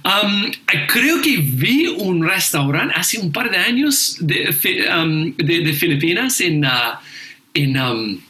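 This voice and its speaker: Casting note: man, slow, halting delivery, bright tone, roomy echo. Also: high voice, very animated. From England. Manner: hesitant, many fillers and restarts. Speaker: seminary teacher, guest. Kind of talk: casual conversation.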